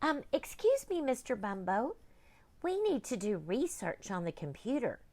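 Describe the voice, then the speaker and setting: A woman playing an earnest little schoolgirl who asks politely and explains carefully. The voice sits higher than the narrator's own, soft and steady. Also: unhurried, heavily acted.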